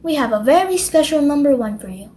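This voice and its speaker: A woman voicing a kindly old grandmother pig in a children's storybook, gentle and caring. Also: sits high, animated, fast. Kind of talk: children's storybook read-aloud.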